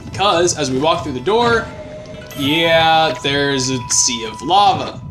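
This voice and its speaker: A young man narrates his gameplay in a loose, chatty way and jokes at his own expense. His voice sits a little high and jumps up on exclamations. Game music plays clearly under his voice. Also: expressive, slow. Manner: chatty, self-deprecating, bouncy exclamations. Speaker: let's play host. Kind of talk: casual gameplay commentary.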